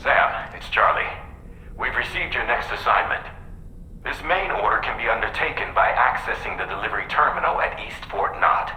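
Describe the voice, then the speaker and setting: A man's voice: lively intonation, moderate pace, sharp tone. A man playing a businesslike mission controller in a video game, speaking officially over a processed comms channel. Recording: echoing comms, faint rumbling noise.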